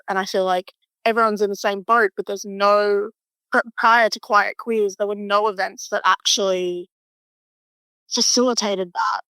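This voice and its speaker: Bright-voiced woman chatting in interview. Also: medium pitch, dry recording, poor audio. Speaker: interviewee, group member. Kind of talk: casual unscripted interview talk.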